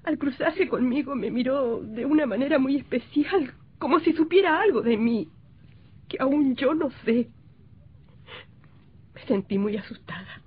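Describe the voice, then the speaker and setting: A frightened young wife in a vintage radio horror play. Her voice is high, breathless and insistent, full of anguish. The old recording is narrow and tinny. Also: lively intonation, unhurried, slightly rough, exaggerated voice. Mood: terrified.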